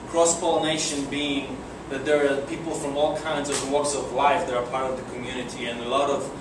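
A man's voice: mid-range voice, level tone, a little fast. Polish-accented young man in an echoey room. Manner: casual, hesitant with many fillers and restarts. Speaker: guest speaker. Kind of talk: presentation to a breakfast meeting. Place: conference room, speaking to a seated audience. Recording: echoey room, far from the mic, air conditioning hum.

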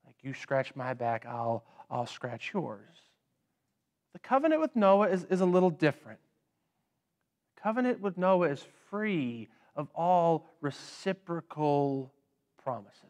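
A man in his thirties preaching a sermon in a church sanctuary, unhurried, often repeating words as he gathers his thoughts. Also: fairly high pitch, sing-song, a little mellow. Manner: unhurried, with frequent word repeats and pauses; standing and gesturing while preaching. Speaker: pastor.

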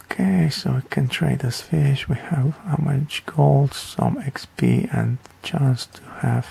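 A man's voice: medium pitch, gravelly, warm tone, slightly rough, rough recording. A man giving a casual game tutorial in a rambling, offhand, hesitant way. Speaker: gaming video creator.

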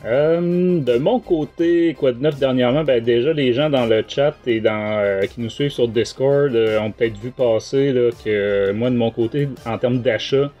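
A middle-aged man with a Québécois French accent, hosting a casual podcast chat in hesitant, halting phrases. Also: mid-range voice, dark tone, dull audio, faint music behind. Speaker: podcast host. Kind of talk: casual talk show chat.

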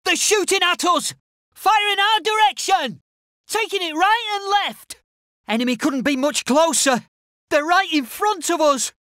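A man's voice: extremely high pitch, a little slow, pushed and bright, dry recording, clean studio sound, exaggerated voice. An actor playing a timid, unsure infantryman in a video game, speaking hesitantly and politely. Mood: panicked. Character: timid, unsure British infantry soldier, eager to obey. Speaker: infantry soldier unit voice. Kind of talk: video game unit barks.

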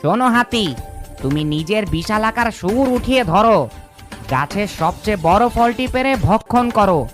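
A woman's voice: medium pitch, animated, normal speed, soft background music, natural voice. A woman voicing a wise old fox who gives practical advice in a cartoon story. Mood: wise advising. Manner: advising, exhortative.

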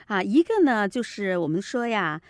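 Lively female teacher explaining pronunciation. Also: medium pitch, normal speed, no room sound, studio quality. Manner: didactic, repeats points and demonstrates sounds. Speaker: teacher, commentator on a radio programme. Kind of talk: explanatory language lesson on pronunciation. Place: radio studio, dry close sound.

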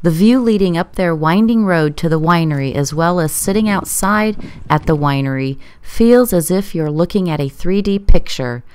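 Woman narrator, low pitch, clean resonant tone, dry recording, scripted promotional read. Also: animated, normal speed, a little mellow, clear, resonant tone, dry recording.

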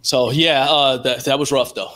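A man's voice: mid-range voice, steady tone, moderate pace, sharp tone. A middle-aged American man telling stories casually and colloquially as an interview guest on a video call headset. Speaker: interview guest, live stream producer. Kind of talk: casual conversational storytelling. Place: indoor room at home on a video call.

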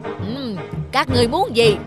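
A woman's voice: a little high, animated, unhurried, over loud music. A woman voicing a hungry, scheming, impatient cartoon fox with a menacing, gloating manner. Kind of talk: animated cartoon dialogue.

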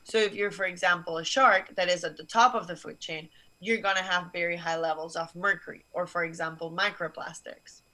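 Young woman on a video call, bright clear tone, earnest explainer. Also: fairly low pitch, steady tone, a little fast, few pauses, rough recording. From Barcelona, Spain. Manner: earnest, explanatory, gestures while talking. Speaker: guest scientist. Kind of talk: explaining science to students. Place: small office room. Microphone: video call webcam.

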